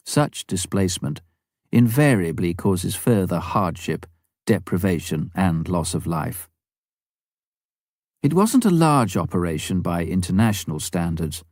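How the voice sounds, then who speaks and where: A man with a low voice narrates a memoir in a measured, formal way. The recording is close and dry, with very little room sound, as suits an audiobook reader. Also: darkish tone, no echo. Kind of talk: scripted narration, reading a memoir aloud.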